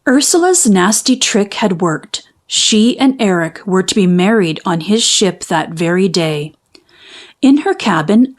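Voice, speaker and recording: A woman narrating a children's storybook in an expressive, measured storytelling manner. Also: medium pitch. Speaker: children's book read-aloud narrator.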